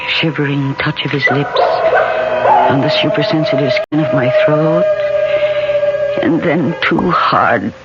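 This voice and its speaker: Haunted young woman, slow and animated. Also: sits low, full character voice. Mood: haunted, entranced. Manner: dramatic, deliberate, emotionally heightened. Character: earnest, alarmed young woman narrating her gothic horror. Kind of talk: old-time radio drama dialogue and first-person narration. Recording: muffled old radio recording with loud music.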